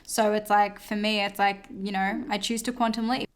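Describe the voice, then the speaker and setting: A woman's voice: mid-range voice, level tone, moderate pace, smooth. A woman co-hosting a podcast, talking earnestly in casual chat and repeating words for emphasis. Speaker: podcast co-host.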